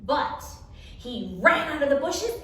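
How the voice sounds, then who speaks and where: A woman reading a picture book to children as a story time host, expressive, with dramatic emphasis and pauses. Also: sits high. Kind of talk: animated picture-book read-aloud for children. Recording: echoey room, distant mic, light noise.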